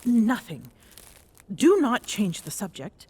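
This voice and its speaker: A woman's voice: mid-range voice, big pitch swings, slow pace, dark tone, breathy edge, full character voice. A woman playing a tall Amazonian queen in an intimate ASMR roleplay, smitten and teasing, bossy yet tender, often slipping toward a whisper. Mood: mock indignant. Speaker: voice actor in audio roleplay.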